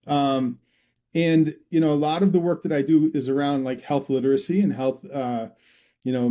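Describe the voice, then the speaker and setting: A middle-aged man with a Massachusetts accent talks through his background as an interview guest. His voice is very dark and mellow, and he often restarts sentences and winds around to his point. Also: medium pitch. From Massachusetts, USA. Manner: rambling, many restarts and fillers. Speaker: guest, public health researcher. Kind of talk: conversational interview.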